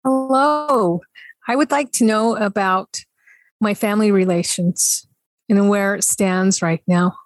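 A woman's voice: mid-range voice, takes it slowly, dry recording. A woman author and speaker, a guest on a podcast, talking candidly and thoughtfully about her family and her own rules. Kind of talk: reflective conversation.

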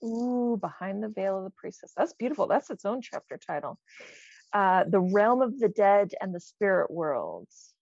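A woman's voice: mid-range voice, big pitch swings, normal speed, vocal fry, a little mellow, no room sound. A woman co-hosting a podcast, reading out quiz items and musing encouragingly in a casual way, on slightly muffled audio. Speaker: podcast co-host, quiz reader.

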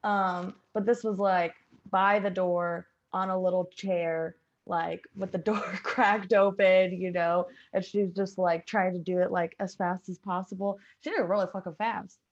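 A woman's voice: fairly low pitch, steady tone, a little slow, lo-fi sound. A woman with an American accent chatting casually and animatedly on a video call, with the odd swear word. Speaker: podcast cast member. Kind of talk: casual chat.

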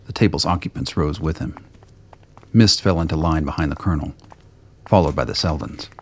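A man reading scripted narration for a radio play in a deep, gravelly voice. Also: fairly quick, slight background noise.

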